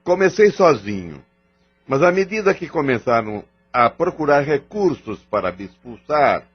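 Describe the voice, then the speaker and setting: A man's voice: medium pitch, big pitch swings, moderate pace, breathy edge. An actor playing a proud, cunning malevolent male spirit, a gang chief, defiant and boastful in an audio drama. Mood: grudging, proud. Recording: muffled lo-fi audio drama recording. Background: background music.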